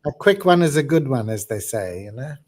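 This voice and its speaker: A man's voice: medium pitch, sing-song, fairly quick, slightly rough. A man hosting a casual group call, laid-back and amused. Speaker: channel host. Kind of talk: casual chat.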